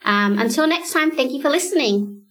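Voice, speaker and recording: A woman's voice: sits high, expressive, fairly quick, a bit bright, roomy sound. A woman hosting a podcast, chatty and reflective in a casual, self-mocking way. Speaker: podcast host. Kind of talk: casual chat.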